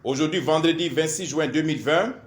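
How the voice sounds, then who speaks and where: A man gives a spiritual lecture in a deliberate, didactic, repetitive manner, in short halting phrases. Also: a little high. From Ivory Coast. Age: 40s. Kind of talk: spoken lecture to camera. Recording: echoey room sound.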